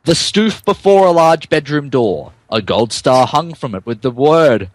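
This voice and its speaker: Animated man reading a story mock-dramatically. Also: a little high, a little slow, no room sound. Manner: mock-dramatic, often stumbles over words. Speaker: podcast co-host and narrator. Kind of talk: comic dramatic reading and casual banter.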